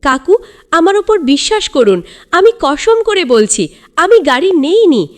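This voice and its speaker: A woman's voice: very high voice, big pitch swings, unhurried, pitched above the performer's own voice, exaggerated voice. A woman voicing an earnest, eager little boy in a children's cartoon story. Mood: desperate pleading.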